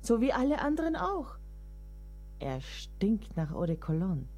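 A woman's voice: medium pitch, very animated, measured pace, warm tone. An actress in a radio play, playing a devoted but exasperated middle-aged woman writer with a motherly, wry, dramatic manner. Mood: amused.

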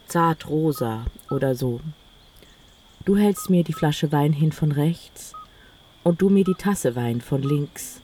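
Audio play narrator, first-person literary storytelling with quoted dialogue. Gravelly fry, middle pitch, slight background noise. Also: dark tone.

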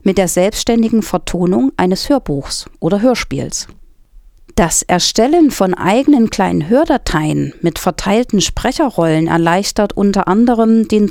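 A woman's voice: mid-range voice, darkish tone, dry recording. Woman reading scripted items, dry sound. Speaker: quote reader and co-presenter. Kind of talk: scripted narration, reading quotations.

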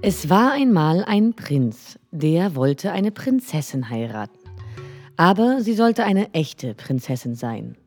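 Mellow, lowish female storyteller, gentle and expressive, bedtime fairy tale, faint music underneath. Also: takes it slowly.

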